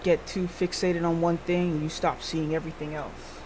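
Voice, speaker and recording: Woman putting on a gruff, low, skeptical police boss. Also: normal speed, slight background noise, lightly acted, low-quality recording. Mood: stern. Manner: curt, grumbling, deadpan.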